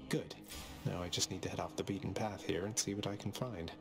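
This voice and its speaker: A man's voice: sits low, steady tone, brisk, vocal fry, music in the background. A man voicing a wry, earnest young rebel prince of the underworld in a video game, with a dry, understated manner. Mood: muttering, determined. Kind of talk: video game character acting.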